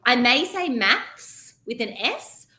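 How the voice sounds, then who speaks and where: A woman's voice: on the high side, sing-song, slow, sharp tone, echoey room. A woman with an Australian accent, a guest chatting casually and laughing easily. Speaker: guest, teacher resource creator. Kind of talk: casual chat.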